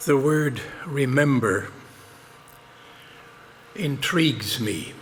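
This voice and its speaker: A man whose first language is German, giving a slow, deliberate and reflective speech on a theatre stage. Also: mid-range voice, expressive, big-room sound, a little hiss or hum. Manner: slow, deliberate, explaining words. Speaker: speaker.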